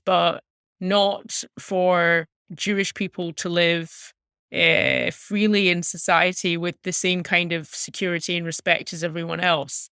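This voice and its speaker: Female interview guest, thirties, Scottish accent, earnest and articulate storyteller, crisp tone, close dry sound. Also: fairly low pitch, fairly bright tone, no echo. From Glasgow, Scotland. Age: 30s. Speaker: interview guest, music journalist. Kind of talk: reflective personal storytelling in an interview.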